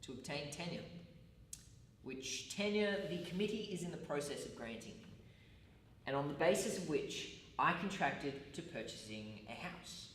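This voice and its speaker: A man performing a stage monologue as an earnest, self-justifying college teacher with formal, pedantic, halting phrasing. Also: a little high, animated, a bit bright. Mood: firm, aggrieved. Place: large echoey room at a college. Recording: echoey hall, distant mic, faint noise.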